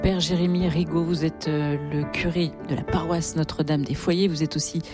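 A woman's voice: sits low, steady tone, moderate pace, over music. A woman presenting a broadcast interview, putting questions to her guest in a conversational, composed way.

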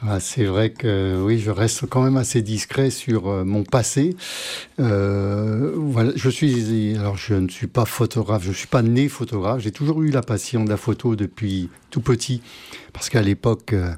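An older man from Normandy talking casually as an interview guest, pausing often to search for his words. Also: lowish voice, warm tone. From Normandy, France. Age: older, 60s or 70s. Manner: hesitant, halting, searching for words.

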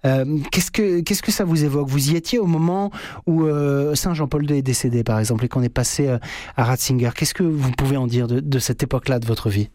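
A man's voice: mid-range voice, brisk, darkish tone, no echo. A man hosting a radio interview, asking long, winding questions full of fillers. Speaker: radio host.

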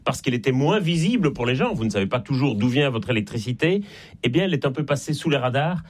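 Man, Belgian French accent, medium pitch, structured explanatory delivery, interview guest. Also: lively intonation, fast. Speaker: guest expert and author on energy transition.

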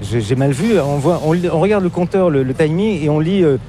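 A man with an accent from France, interviewing casually and haltingly, repeating words as he phrases his questions. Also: a little high, expressive, faint music behind. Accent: French from France. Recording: loud car and road noise.

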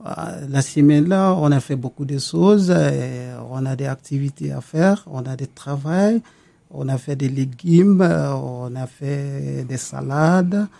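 A man's voice: medium pitch, unhurried, gravelly, dark tone. A man speaking as a guest on a radio show, hesitant and repetitive, with many fillers. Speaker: guest, new worker at a farm association. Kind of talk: radio interview, casual. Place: radio studio. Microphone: studio microphone.

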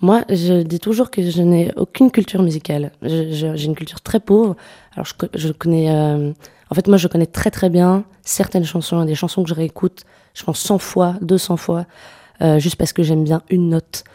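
A young woman singer-songwriter answering interview questions, speaking softly with many hesitations and restarts. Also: lowish voice, normal speed. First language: French. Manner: soft-spoken, hesitant, many fillers and restarts. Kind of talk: radio interview conversation.

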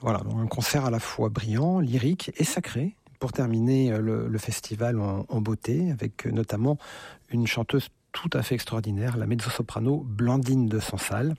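A man's voice: mid-range voice, moderate pace, creaky, a little mellow, slightly rough, no echo. A man interviewed on radio as a conductor, speaking with many hesitations and repeated words. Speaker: conductor, festival guest.

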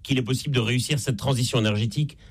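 Man, belgian french accent, author guest, measured explaining, mid pitch. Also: slightly rough. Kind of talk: radio interview.

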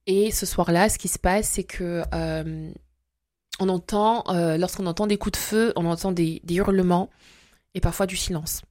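A woman in her forties from Congo-Brazzaville, a guest telling her faith story in an interview and pausing often with fillers and restarts. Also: lowish voice, fairly even intonation, a little mellow, dry recording, studio quality. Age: 40s. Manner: hesitant, many fillers and restarts. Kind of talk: testimony interview.

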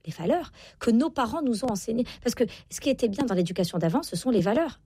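A woman in her forties with a slightly rough, husky voice gives advice as an expert guest. She talks quickly and insistently, repeating her key points. The sound is dry, with very little room around her. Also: on the high side, big pitch swings, dark tone, no room sound. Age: 40s. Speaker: guest parenting expert and author. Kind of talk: interview talk, explaining and advising.

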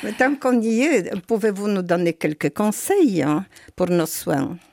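A woman's voice: mid-range voice, expressive, unhurried, a little mellow, dry recording, studio quality. An older woman hosting a radio interview, chatty and exclaiming, tagging her sentences with little asides. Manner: chatty, exclaiming, adds "hein". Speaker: radio show host.

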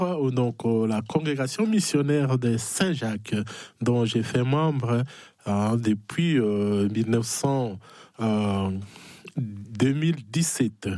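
Congolese french accent guy, priest telling his story in an interview, halting, mid pitch, dry sound no room. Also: animated, mellow, no echo. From Democratic Republic of the Congo. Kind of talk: conversational interview, personal testimony.

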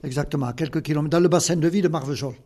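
A man's voice: a little high, very fast, darkish tone. Older man with a southern Occitan accent. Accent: Occitan southern French. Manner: earnest, explanatory, with many fillers. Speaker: guest. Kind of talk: interview answers.

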